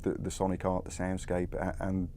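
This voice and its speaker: Dark, flat, low-voiced man. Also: vocal fry. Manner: halting, repetitive. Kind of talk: interview talk. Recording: faint low rumbling noise.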